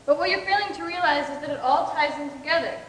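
A woman's voice: sits high, fast talker, a bit bright. A young woman acting an opinionated, sarcastic student in amateur stage dialogue. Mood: insistent. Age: young adult. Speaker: student performer in a reader's theater. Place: large indoor hall, from a distance. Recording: distant mic in echoey hall, faint noise.

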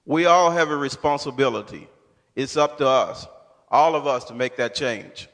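A man's voice: mid-range voice, normal speed, fairly bright tone. A man from Mississippi giving a rehearsed spoken testimony on stage. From Shelby, Mississippi, USA. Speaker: speaker in a readers' theater presentation. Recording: echoing hall with long reverb.

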